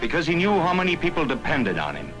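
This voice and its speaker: A man's voice: a little high, huge pitch swings, fast, rough recording. An American man delivering scripted narration in the style of a 1940s newsreel. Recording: 1940s film soundtrack with prominent music. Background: music.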